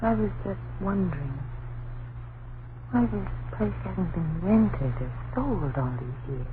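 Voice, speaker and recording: Anxious wife, hissy old radio. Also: female voice, mid-range voice, lively intonation, narrow, tinny audio. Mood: uneasy, hesitant. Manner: hesitant, halting, worried pleading. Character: anxious, superstitious young wife and mother in a lonely manor. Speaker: radio drama actress. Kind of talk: old-time radio suspense acting.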